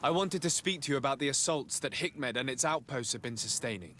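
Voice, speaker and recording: A man playing a composed young noble diplomat in a video game, speaking in a formal, measured and polite way with quiet resolve. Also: mid-range voice, expressive, a little hiss or hum, natural voice. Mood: calm, firm. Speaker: diplomat character. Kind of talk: video game cutscene acting.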